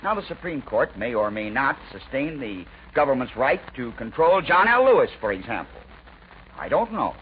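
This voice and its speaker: A man with an American accent delivers a scripted 1940s radio editorial in an oratorical, emphatic way, with deliberate rhetorical pauses. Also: mid-range voice. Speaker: radio news commentator. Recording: dull, noisy 1940s radio recording.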